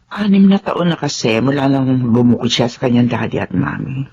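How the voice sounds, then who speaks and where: Weary widowed housemaid, gentle, motherly, varied pitch, muffled recording. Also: expressive, a little fast, slightly rough, full character voice. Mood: worried. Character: weary loyal middle-aged widowed housemaid. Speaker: radio drama actor. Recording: dull lo-fi radio recording.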